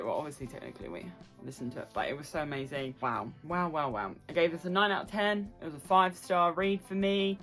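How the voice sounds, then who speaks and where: A woman hosting a casual book review vlog, talking informally and directly to her viewers and repeating phrases for emphasis. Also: deep voice. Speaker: book reviewer and video host. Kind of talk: casual chatty book wrap-up to camera.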